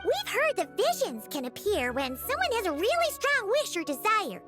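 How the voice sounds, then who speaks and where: A woman voicing a tiny, childlike female companion creature in a video game, with a squeaky voice and an earnest, curious, questioning manner. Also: wildly swooping pitch, normal speed, music in the background, exaggerated voice. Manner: eager, wide-eyed, questioning. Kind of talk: scripted video game voice acting.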